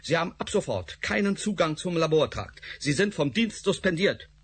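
An authoritarian male lab chief issues curt orders and sarcastic questions. His voice is husky and sits slightly high, the tone of someone used to being obeyed. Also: very animated, normal speed. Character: stern male director Doktor Bergson, authoritarian. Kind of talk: radio play acting.

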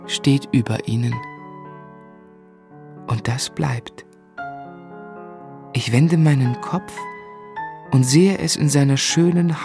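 An actor playing a tender, reflective novelist looking back on his life in a measured, earnest radio drama delivery. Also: medium pitch, a little mellow. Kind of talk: literary radio drama narration and dialogue. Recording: studio radio play with music underneath.

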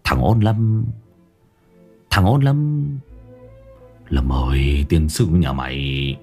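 A gruff older village chief, as a storyteller puts him on, grumbles and frets. His voice drops well below the narrator's own and stays gravelly low. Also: lively intonation, extremely slow, darkish tone, faint music behind, full character voice. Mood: exasperated. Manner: grumbling, flustered.

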